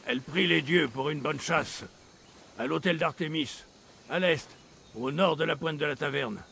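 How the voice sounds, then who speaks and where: Un acteur de doublage jouant un rebelle bourru dans un jeu vidéo. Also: male voice, fairly high pitch, normal speed, vocal fry, fairly bright tone, a little hiss or hum. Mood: matter-of-fact. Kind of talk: dubbed video game acting.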